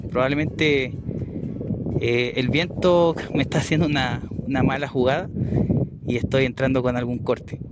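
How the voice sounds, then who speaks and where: A man's voice: medium pitch, steady tone, moderate pace, loud music behind the voice, poor audio. A man with a Chilean accent talking courteously in a casual outdoor vlog while operating a ham radio. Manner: courteous, uses radio call signs and phonetic alphabet. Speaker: amateur radio operator and vlogger. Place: outdoors on a windy hilltop.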